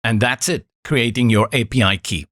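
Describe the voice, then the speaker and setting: A man whose first language is Afrikaans talks viewers through each click of a software setup. His voice sits at an ordinary middle pitch. The recording is close and dry, with no room sound. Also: normal speed, no room sound. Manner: calm, instructive, reassuring asides. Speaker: software tutorial presenter. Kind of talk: screen-recorded step-by-step tutorial.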